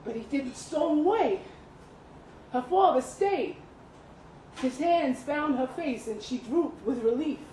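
A woman reading a literary short story aloud at a live event, with expressive, deliberate phrasing and dramatic pauses. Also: high-pitched. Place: indoor live reading event at a pub venue. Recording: roomy live venue, some background noise.

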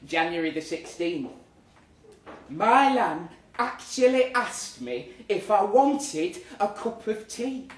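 A British man reads a comic story aloud in an expressive, theatrical storytelling manner at a live pub event. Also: high-pitched, takes it slowly. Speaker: reader at a live literary event. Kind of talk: dramatic comic story reading to an audience. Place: pub event room, live before an audience. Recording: roomy live pub recording, distant, faint noise. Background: faint room ambience, audience.

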